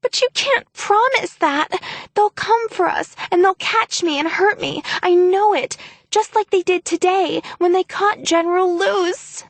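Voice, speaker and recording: A woman's voice: extremely high pitch, a little slow, no echo, voice pushed up. A woman voicing a teenage mermaid girl who fakes hysterical terror, wailing and sobbing. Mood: sobbing frantic.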